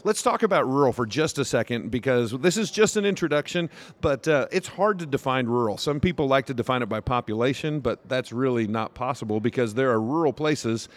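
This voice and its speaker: A man's voice: medium pitch, animated, fairly quick. An American man speaking as a podcast host and pastor, bantering casually in front of a live audience.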